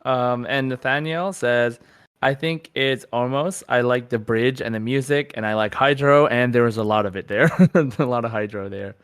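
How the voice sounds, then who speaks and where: A man's voice: mid-range voice, normal speed, fairly bright tone, clean tone, no room sound, studio quality. A man hosting a podcast, chatting casually and reading out listener comments in an easygoing way. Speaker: podcast host.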